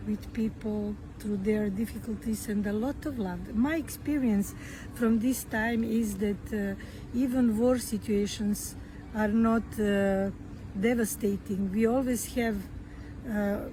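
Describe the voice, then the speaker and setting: A Serbian-accented woman speaking English as a second language. She talks slowly and haltingly, pausing often on fillers. The recording is noisy, with a clear hum of passing traffic behind her. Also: medium pitch, steady tone. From Croatia. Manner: slow and halting, many fillers and restarts. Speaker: guest author and activist. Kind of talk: podcast interview.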